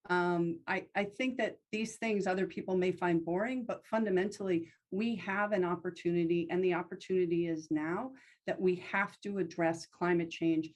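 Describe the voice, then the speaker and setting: An American woman answering as a congressional candidate in a debate, speaking off the cuff and stressing key words. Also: fairly low pitch. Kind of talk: semi-extemporaneous debate answer.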